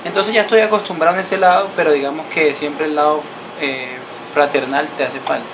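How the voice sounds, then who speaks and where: A man's voice: fairly high pitch, fairly even intonation, fairly quick, background noise. A young man from Colombia answering interview questions in a small room, earnest and grateful, often restarting his sentences. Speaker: guest, actor. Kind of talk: casual interview. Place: small indoor room, seated beside host.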